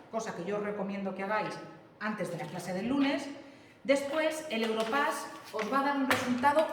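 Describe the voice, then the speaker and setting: A woman's voice: fairly high pitch, a little hiss or hum. A woman teacher giving a classroom lecture, explaining step by step and often checking that students follow. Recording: echoey classroom from far off.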